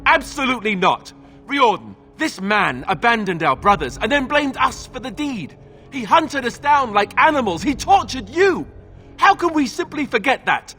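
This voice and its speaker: A passionate young male warrior in a fantasy game, his voice pitched very high and ringing in a reverberant hall. He speaks with heated, indignant emphasis. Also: big pitch swings, moderate pace, pushed and bright, faint music behind, exaggerated voice. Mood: outraged. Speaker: voice actor in a fantasy role-playing game. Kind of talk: dramatic fantasy game cutscene acting.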